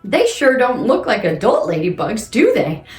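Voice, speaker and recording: A woman presents to young children with a very animated voice that swoops up and down. She sits fairly high in pitch and speaks in a playful, exaggerated read-aloud style. The room rings noticeably around her. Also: normal speed. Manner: exaggerated, exclamatory, asks questions of young listeners. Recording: roomy echo with music underneath. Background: music.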